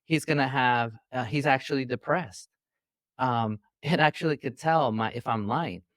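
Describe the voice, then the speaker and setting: A man's voice: medium pitch, moderate pace, a bit bright, no echo, studio quality. A man from New York City, a physician guest, explaining at length in an earnest, teacherly way over a video call. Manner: earnest, teacherly, long-winded. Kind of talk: explaining interview answers. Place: indoors.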